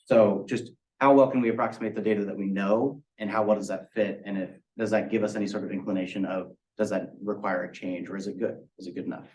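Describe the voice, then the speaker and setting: A young man with a slightly low voice speaks as a student in a project review. He gives measured, technical explanations in answer to questions. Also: fairly even intonation, a little mellow, poor audio. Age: young adult. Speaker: university student presenting a project.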